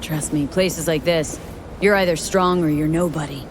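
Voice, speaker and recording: Actress as low-voiced, curt tough woman. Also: fairly even intonation, normal speed, background noise, natural voice. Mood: confident. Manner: blunt, commanding. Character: tough, curt female mercenary posing as corporate dealer. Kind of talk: video game voice acting.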